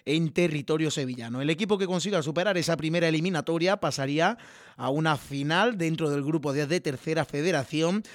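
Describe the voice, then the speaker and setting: Male sports radio host, slightly higher voice, dry close mic, steady informative read. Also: fairly quick, dry recording. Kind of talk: local sports radio presenting. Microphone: studio microphone.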